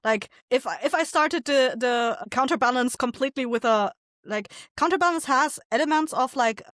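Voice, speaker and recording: Female podcast guest, slightly high voice, dry sound, casual and thoughtful, searching for words. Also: normal speed, a bit bright, dry recording. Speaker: interview guest, indie audio drama creator. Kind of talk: casual conversational interview.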